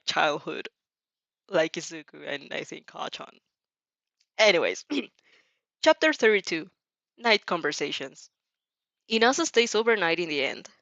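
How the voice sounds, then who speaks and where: A woman's voice: on the low side, a bit bright, no echo. Giggly woman reading aloud, dull dry sound. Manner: giggly, rambling, informal. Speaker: audio fan fiction reader and reactor. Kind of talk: casual reaction chat and expressive story read-aloud.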